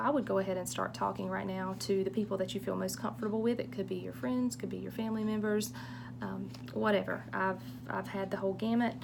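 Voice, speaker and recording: A woman's voice: mid-range voice, gravelly, background noise. A middle-aged woman from eastern North Carolina with a strong Southern accent, answering student questions for a college public speaking course in a friendly, reassuring, chatty way. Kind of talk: casual recorded course Q&A.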